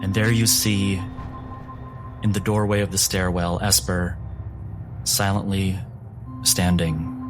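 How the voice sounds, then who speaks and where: Slow, low-voiced man narrating as game master. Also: little pitch movement. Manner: slow, deliberate storytelling delivery. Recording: music bed behind the voice. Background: music.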